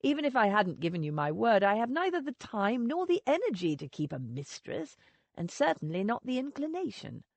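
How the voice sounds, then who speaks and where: Stiff, gentlemanly young husband in a storybook, read by a woman; lowered, firm, dry close sound. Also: big pitch swings, brisk, no echo. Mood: tender.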